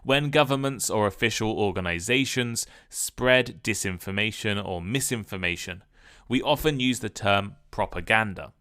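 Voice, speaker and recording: A man with a somewhat low voice narrates a scripted English lesson in a measured, deliberate way. His tone is bright and smooth, and he is recorded close on the mic in a dry room. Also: no echo. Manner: clear, measured delivery that sounds read from a script. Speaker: podcast host and English teacher.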